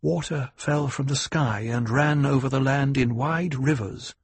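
A man voicing a composed, proud noblewoman in an audiobook, with a husky, lifted delivery. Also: mid-range voice, a little slow, no echo, pitched above the performer's own voice.

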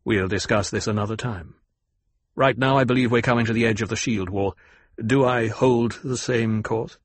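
A commanding nobleman acted by a male narrator, husky and closely, dryly recorded. He is shrewd and composed, giving courteous orders and questions in a measured audiobook performance. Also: on the low side, no echo, natural voice. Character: calm, commanding, shrewd noble duke, a grown man. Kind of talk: audiobook character acting.

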